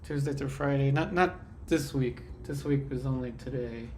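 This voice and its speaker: A man's voice: mid-range voice, moderate pace, a little hiss or hum. A man hosting a live painting stream, sounding congested and a bit nasal as he chats easily with his viewers while he works. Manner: relaxed, chatty, answers viewer comments while working. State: congested from a sinus infection. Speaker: livestream art host. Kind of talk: live painting demo chat.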